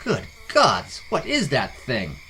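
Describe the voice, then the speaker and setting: A man's voice: mid-range voice, wildly swooping pitch, takes it slowly, sharp tone, a little hiss or hum, full character voice. A man voicing an authoritative, inquiring town lord in a fantasy storybook reading, commanding yet courteous. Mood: surprised. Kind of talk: storybook character voice in fantasy narration.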